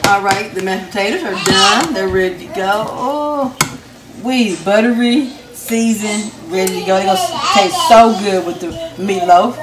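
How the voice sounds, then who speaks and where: A woman's voice: mid-range voice, lively intonation, a little slow, heavy background noise. A middle-aged woman, chatting homey to viewers as she cooks dinner in her home kitchen. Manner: chatty, homey, talks to viewers while cooking. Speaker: home cooking vlogger. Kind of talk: casual cook-along narration. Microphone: phone camera held while cooking. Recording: echoey kitchen with pot and dish clatter.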